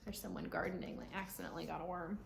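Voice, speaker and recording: A woman's voice: fairly low pitch, steady tone, quick pace, creaky. A woman reading a picture book aloud for children, adding informal explanations as she goes. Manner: child-directed, informal commentary. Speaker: children's read-aloud reader. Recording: roomy echo, faint background noise.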